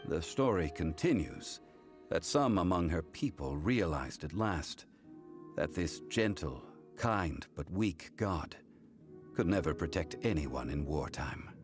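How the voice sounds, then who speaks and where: Dignified game elder, very low voice, slow formal delivery, solemn, music behind. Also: male voice, expressive. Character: calm, dignified, ancient man recounting old history. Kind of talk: scripted video game voice acting.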